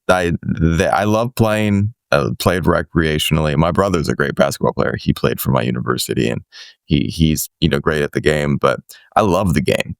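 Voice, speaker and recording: Deep-voiced man hosting a rambling chat. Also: gravelly, no echo, studio quality. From Boston area, USA. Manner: rambling, many restarts and "you know" fillers. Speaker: podcast host. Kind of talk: casual conversational interview.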